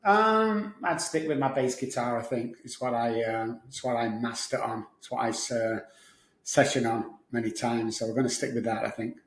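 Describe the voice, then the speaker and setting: A man's voice: mid-range voice, normal speed, a little hiss or hum. A middle-aged man with a Manchester English accent, answering interview questions conversationally as a podcast guest. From Manchester, England. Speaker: podcast guest, addiction recovery specialist. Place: home office and recording studio. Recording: roomy, echoey office studio.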